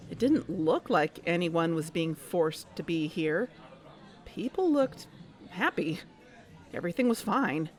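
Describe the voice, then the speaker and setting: A woman's voice: mid-range voice, a little mellow. An actress playing an uneasy, watchful woman investigator who tells her story in a scripted audio drama. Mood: uneasy, reflective. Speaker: narrator and lead character of an audio drama. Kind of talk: scripted first-person narration and dialogue.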